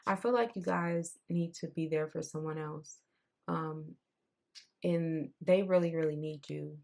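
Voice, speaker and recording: A young woman giving a casual tarot reading. She talks in a loose, repetitive way, and her voice has gone raspy. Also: low-pitched, steady tone, measured pace, a little mellow. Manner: conversational, repeats herself, says "I'm seeing" often. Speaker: tarot reader.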